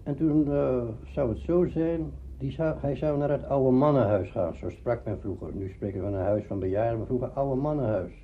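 An older man with a mid-pitched voice reminisces in an interview, explaining things in a deliberate way. The recording is dull and lo-fi, with faint noise behind him. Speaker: veteran radio drama actor.

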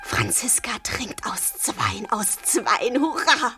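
A woman's voice: fairly high pitch, huge pitch swings, slow, bright, forceful tone, faint music behind, full character voice. An actress playing a devoted, impulsive fifteen-year-old servant girl in a theatrical radio play, with ardent, poetic delivery. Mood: hushed adoration.

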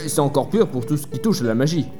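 A man's voice: on the high side, animated, fast talker, music in the background, natural voice. A man playing an easygoing innkeeper in a French audio drama, explaining things matter-of-factly. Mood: plain explaining. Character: easygoing innkeeper player who shuns heroics. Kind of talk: French audio fiction dialogue.

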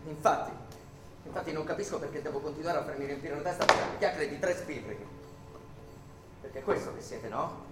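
A man's voice: fairly high pitch, brisk, pauses often, close to an everyday voice. An actor playing an articulate, defiant man under arrest who insistently questions his captors in a theatrical radio drama. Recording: roomy echo with music behind.

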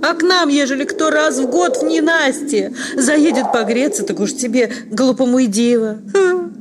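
An actress playing an exasperated, scornful village sexton's wife in a radio drama, mocking and scolding. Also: female voice, high voice, expressive, normal speed. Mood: dismissive. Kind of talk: theatrical radio drama dialogue. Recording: clear background noise and ambience.

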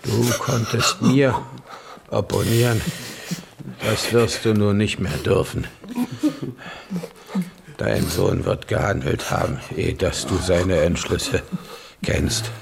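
Dying old king in a radio drama, super slow, creaky low voice, bitter and imperious. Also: fairly even intonation, noisy background, heavily acted. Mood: stern, weary. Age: older. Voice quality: gravelly, breathy, weakened voice. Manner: halting, laboured, commanding delivery. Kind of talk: German radio play acting.

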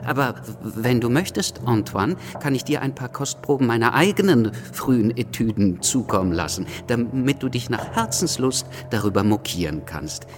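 An actor in a German radio drama playing a mocking, stammering young revolutionary journalist who talks in a hesitant, teasing way. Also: male voice, medium pitch, expressive, normal speed, few pauses, faint music behind, close to an everyday voice. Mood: mocking, needling. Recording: faint background noise.

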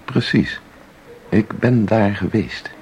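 A man's voice: fairly low pitch, takes it slowly, darkish tone, slight background noise. An actor playing an intense, mysterious man in a radio drama, speaking with insistent, theatrical deliberation. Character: intense, mysterious man who claims to enter paintings. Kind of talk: Dutch radio drama acting.

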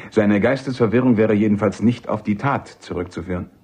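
An actor playing a courteous but persistent army captain and defence lawyer in a German radio drama, speaking formally. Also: male voice, on the low side, a little fast, darkish tone, husky. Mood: polite, inquiring. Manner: courteous, formal, deferential yet insistent.

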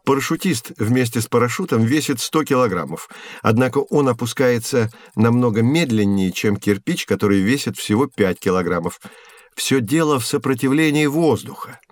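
An actor playing a patient, kindly physics professor who explains and gently corrects in a scripted educational audio drama. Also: male voice, mid-range voice, animated, normal speed, no echo, natural voice. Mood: patiently explaining.

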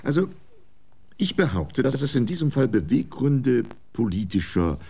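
Ein Schauspieler spielt einen älteren, wichtigtuerischen Fernsehchef, der weitschweifig redet. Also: male voice, medium pitch, sing-song, normal speed, mellow, slightly rough. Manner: self-important, long-winded. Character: pompous older male TV executive, old republican.

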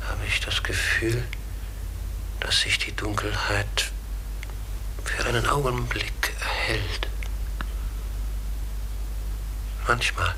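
An actor playing a melancholy, pensive man in a radio play, speaking haltingly and often sinking to a near-whisper. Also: lowish voice, sharp tone, full character voice, poor audio. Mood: brooding, pensive. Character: melancholy brooding man who believes he was a raven. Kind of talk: German radio play acting.

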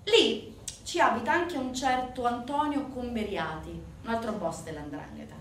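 A woman's voice: on the high side, unhurried. A woman stage actress delivering dramatic scripted narration with emphatic, swinging storytelling. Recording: roomy, distant stage recording with faint noise.

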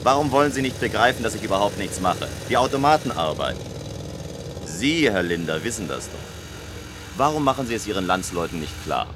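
An actor playing a proud, sceptical tower builder in a radio play, speaking in a pointed, argumentative way. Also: male voice, on the low side, sing-song, brisk, background noise. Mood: irritated.

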